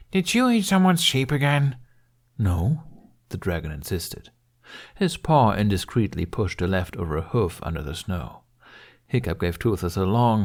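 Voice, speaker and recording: Low-pitched male audiobook narrator. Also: a little mellow. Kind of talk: scripted story narration.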